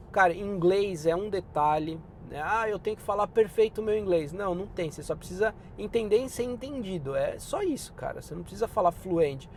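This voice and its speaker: A Brazilian man with a Brazilian Portuguese accent giving job-hunting tips in a relaxed, chatty advice vlog. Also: high-pitched, slight background noise. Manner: relaxed and chatty, often drops in "cara" and "né".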